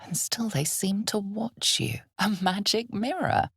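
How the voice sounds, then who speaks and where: A woman playing an ominous, knowing narrator of a dark fantasy video game, with a breathy voice and deliberate, dramatic storytelling. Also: mid-range voice, no echo, clean studio sound, heavily acted. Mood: ominous, measured. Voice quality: breathy, husky, often near a whisper.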